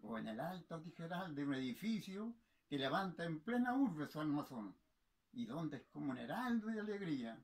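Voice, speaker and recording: A man reciting patriotic poetry in a solemn, impassioned, oratorical manner, with theatrical delivery and dramatic pauses. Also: a little high, big pitch swings.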